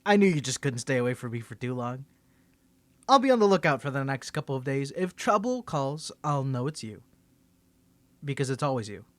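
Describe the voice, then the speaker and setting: A man's voice: medium pitch, sing-song, fairly quick, fairly bright tone, no echo. A man voice acting an ASMR roleplay as a cocky young superhero with a secret identity, stammering and teasing as he swings between confidence and nerves. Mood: smug, teasing.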